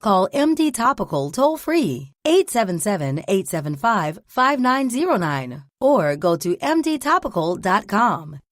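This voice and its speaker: A woman's voice: mid-range voice, sing-song, unhurried, few pauses, dry recording, studio quality. A woman announcer reading a scripted radio commercial. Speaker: advertisement announcer.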